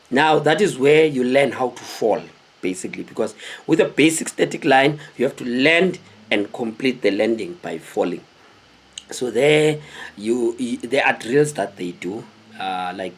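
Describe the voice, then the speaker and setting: Husky South African man telling a story. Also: medium pitch. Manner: animated, digressive, repeats words for emphasis. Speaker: vlogger telling stories. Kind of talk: casual storytelling to camera. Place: small indoor room with a cloth backdrop.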